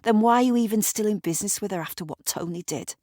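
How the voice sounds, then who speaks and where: A woman voicing a sharp-tongued, resentful woman arguing with her father, bitter and sarcastic. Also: mid-range voice, quick pace, no room sound, studio quality, voice pushed up, lightly acted. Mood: bitter, upset. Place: recording studio.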